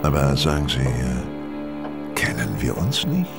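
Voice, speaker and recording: An actor playing a shrewd, courteous, self-assured detective in a German audio drama, speaking theatrically and deliberately. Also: male voice, very deep voice, wildly swooping pitch, creaky, over music, heavily acted. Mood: suave, probing.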